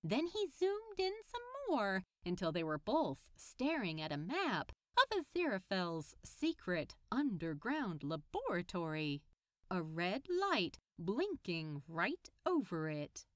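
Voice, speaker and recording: A woman's voice: mid-range voice, huge pitch swings, takes it slowly, dry recording, clean studio sound. A woman narrating a scripted piece of fiction as a storyteller. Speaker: podfic narrator.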